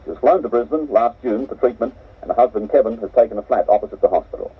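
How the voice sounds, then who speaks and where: Australian male newsreader on old radio. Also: mid-range voice. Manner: measured, steady, crisp delivery. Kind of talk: formal news bulletin reading. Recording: muffled cassette of an off-air radio broadcast, voice a little distant.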